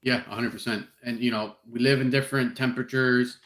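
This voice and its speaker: A man from South Florida hosting a podcast, speaking in a relaxed, hesitant way with frequent "um" and "you know". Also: medium pitch, brisk. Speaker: podcast host. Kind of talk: casual podcast interview. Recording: echoey room.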